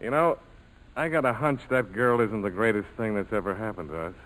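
An actor playing a cool, sardonic pilot skipper with a terse, commanding delivery in a 1940s radio drama. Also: male voice, lowish voice, a little fast, fairly bright tone, close to an everyday voice. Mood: dry, wary. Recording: noisy old 1940s radio broadcast.

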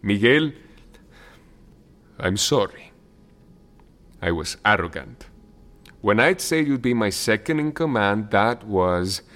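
Exasperated rebel leader for radio play, forceful bright tone, hugely varied intonation. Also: male voice, fairly low pitch, full character voice. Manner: emphatic, exasperated, melodramatic. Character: indignant, proud, pleading male revolutionary leader. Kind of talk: audio drama acting.

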